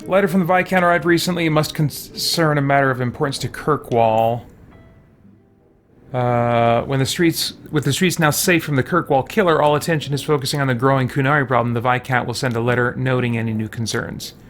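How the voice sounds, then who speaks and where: A man's voice: medium pitch, steady tone, brisk, a bit bright, a little hiss or hum. A man giving casual gameplay commentary, muttering to himself as he thinks aloud. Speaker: let's play host.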